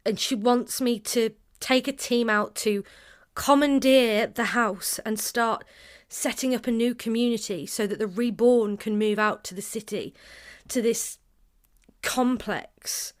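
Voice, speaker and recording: A woman's voice: on the high side, unhurried, lightly acted. A woman playing a troubled, blunt young fighter in a naturalistic audio drama, hesitant and sardonic. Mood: bitter.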